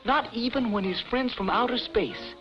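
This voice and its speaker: A man's voice: very high-pitched, normal speed. A man playing a whimsical storybook narrator for 1950s television, mock-solemn and theatrical. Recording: dull vintage 1950s TV soundtrack. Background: loud music.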